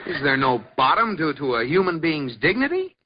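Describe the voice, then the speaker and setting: An actor playing a flustered, exasperated husband and bank vice president, in 1940s radio comedy style. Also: male voice, fairly high pitch, big pitch swings, moderate pace. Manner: stammering, exasperated. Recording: dull lo-fi radio recording with hiss.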